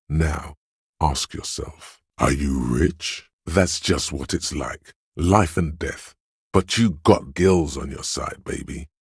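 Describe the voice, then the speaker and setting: Game casting: slick inner voice of style, extremely low bass, coaxing and theatrical, close dry sound. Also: male voice, dry recording, full character voice. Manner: smooth, theatrical, coaxing second-person address. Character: inner voice of agility and style, slick urging hustler. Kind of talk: video game inner-monologue voice lines.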